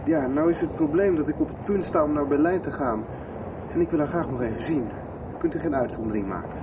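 A man's voice: fairly high pitch, brisk, noisy background, lightly acted. An actor playing an earnest, somewhat hesitant young man in a radio soap. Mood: polite, insistent. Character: earnest young man searching for his father.

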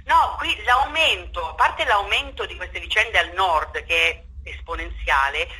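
Woman in an interview, really bright sharp voice, talks with passion and repeats herself to hammer it in. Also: fairly low pitch, a little slow, low-quality recording. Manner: impassioned, emphatic, repeats words, seeks agreement. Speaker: theatre director being interviewed. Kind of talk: spontaneous radio interview talk.

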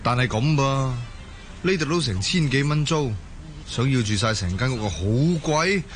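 A man's voice: medium pitch, lively intonation, moderate pace, natural voice. A man acting a patient, reassuring working-class Hong Kong husband in a Cantonese radio drama. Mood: weary, explaining. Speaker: voice actor in an audio drama.

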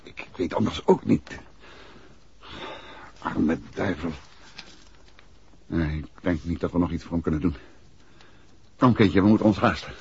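An actor playing a decisive, commanding gentleman detective in a 1960s radio drama. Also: sits low, measured pace, gravelly, pauses often, background noise. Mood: decisive, grave. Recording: dull vintage radio drama recording.

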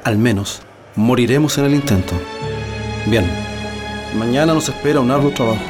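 A man's voice: mid-range voice, moderate pace, natural voice. Man acting a defiant Chilean political prisoner, music behind. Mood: resolute. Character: defiant male political prisoner, steadfast comrade with quiet resolve. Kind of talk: radio drama acting.

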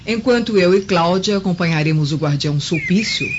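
A woman's voice: on the low side, expressive, a little fast, close to an everyday voice. An actress playing a sly Roman noblewoman in a radio drama, formal and mock-polite. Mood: smooth, polite. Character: sly, insinuating Roman noblewoman, governor's sister-in-law. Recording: muffled lo-fi recording with clear background noise.

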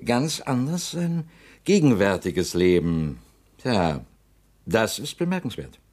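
A man's voice: fairly low pitch, very animated, slow, a little mellow, heavily acted. An actor playing a scheming politician who presses his case with insinuation and veiled threats in a radio play. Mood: insinuating. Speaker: politician confronting a company director. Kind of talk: radio drama acting.

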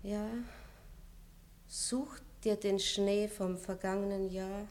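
An actress playing an aging noble princess in a radio play, melancholy and tender. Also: female voice, mid-range voice, little pitch movement, slow pace, a little mellow, natural voice. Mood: bitter, wistful. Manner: melancholy, drops to a whisper in reflection. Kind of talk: 1960s radio play acting.

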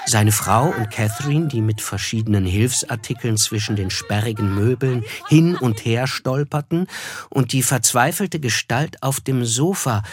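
A man's voice: mid-range voice, close to an everyday voice. An actor playing a reflective, observant young man, narrating a radio drama in the first person with a wry, literary manner.

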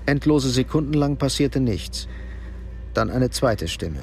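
A man narrating a German radio crime drama in a measured, sober way. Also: mid-range voice, expressive, vocal fry. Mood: suspenseful. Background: music.

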